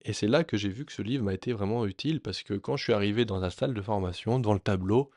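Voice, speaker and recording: A man sharing a personal story in a reflective, earnest way, often pausing and restarting his sentences. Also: on the low side, darkish tone, dry recording, clean studio sound. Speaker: vlogger.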